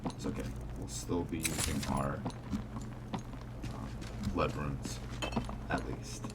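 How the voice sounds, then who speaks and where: A man's voice: rumbling low, fairly even intonation, slow, very noisy. A man giving casual video game playthrough commentary in short remarks, as if thinking aloud. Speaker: gaming content creator. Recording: distant voice under loud game music. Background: loud game music.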